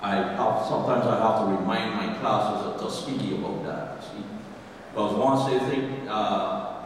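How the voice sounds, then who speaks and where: A man's voice: lowish voice, slight background noise. A man from Guyana with a Guyanese accent, giving a folksy, conversational history lecture to an audience and asking them questions. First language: English. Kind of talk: public history presentation. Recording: distant, reverberant hall recording.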